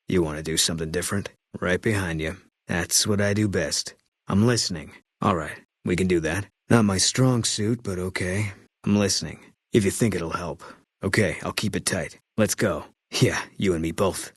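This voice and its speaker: An actor playing a weary ex-sniper soldier in a video game, speaking in clipped, flat lines with a husky voice. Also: male voice, low voice, normal speed, no room sound. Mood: terse. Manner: clipped, flat, few words. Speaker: game companion character. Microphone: dry studio mic.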